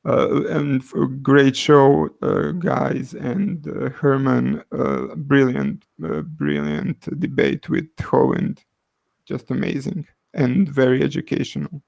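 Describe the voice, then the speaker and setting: A man from Croatia speaks with a Croatian accent as a caller in an online chat. His voice is gravelly and he talks very slowly, pausing on many uhs. The sound is dry and close. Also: mid-range voice, expressive, darkish tone, no echo. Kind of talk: casual online discussion.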